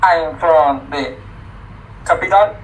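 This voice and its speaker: A man with a Colombian accent and a fairly high voice talks very slowly. He is a Spanish-speaking learner chatting casually, repeating words as he searches, over faint noise. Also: rough recording. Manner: halting, repetitive learner English. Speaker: English learner. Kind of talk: casual chat. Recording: faint noise on video call.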